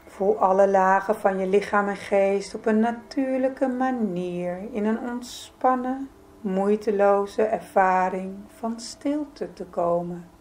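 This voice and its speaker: A woman leading a guided yoga nidra meditation in a soothing, gentle, unhurried manner. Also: mid-range voice. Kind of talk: guided meditation, calm spoken instruction.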